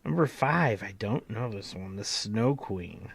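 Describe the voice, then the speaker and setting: A man's voice: lowish voice, animated, measured pace, vocal fry, breathy edge. A man co-hosting a podcast, chatting casually and informally. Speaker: podcast co-host. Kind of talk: casual chat, reading out a countdown list.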